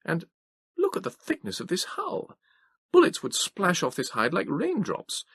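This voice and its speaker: A man voicing an excitable, blunt Victorian gentleman who exclaims in a hushed, raised voice. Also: high voice, unhurried, creaky, slightly rough, dry recording, clean studio sound, voice pushed up, full character voice. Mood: impressed.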